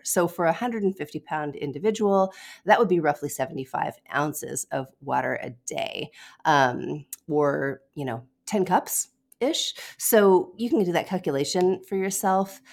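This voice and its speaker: Female podcast host, low voice, nasal from a cold, relaxed and encouraging delivery. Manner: relaxed, encouraging, chatty with light laughs. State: head cold, stuffy nasal voice. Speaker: podcast host and nutrition coach. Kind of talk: casual solo podcast advice.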